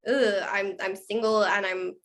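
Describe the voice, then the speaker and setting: A woman's voice: mid-range voice, steady tone, unhurried, a bit bright. Self-mocking young woman. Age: 20s. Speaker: podcast host. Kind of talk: casual podcast chat.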